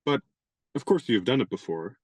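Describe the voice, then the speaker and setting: An eager young man in a radio play. The voice is very deep and dryly recorded, and the manner is awkward and hesitant, tripping over the starts of sentences. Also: expressive, moderate pace, a little mellow, husky, no echo, full character voice. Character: eager, awkward young man, shy would-be suitor and writer.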